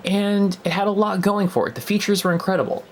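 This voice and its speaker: A man's voice: high voice, steady tone, fairly quick, slight background noise. A young man giving a casual, unscripted product review in a hesitant, conversational way, as if thinking aloud.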